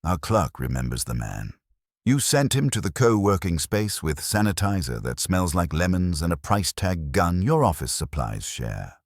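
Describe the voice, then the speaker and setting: A man's voice: deep voice, very animated, moderate pace, no room sound, studio quality, heavily acted. A man voicing an imperious, exacting master detective in an audiobook, formal, pedantic and coldly accusing.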